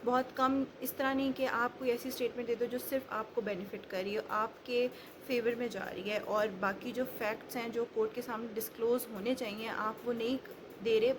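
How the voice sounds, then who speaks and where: Higher-voiced Pakistani woman lecturing over noise. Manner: instructive, repeats and rephrases points. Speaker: law lecturer and advocate. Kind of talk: explanatory lecture mixing Urdu and English. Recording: clearly noisy background ambience.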